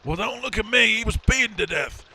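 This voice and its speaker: Gravelly, high-voiced grumpy drunk played by a man. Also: lively intonation, brisk, bright, forceful tone, exaggerated voice. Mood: defensive. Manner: sarcastic, confrontational. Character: grumpy, drunk, aggressive brother in a farmhouse. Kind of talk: amateur audio drama acting.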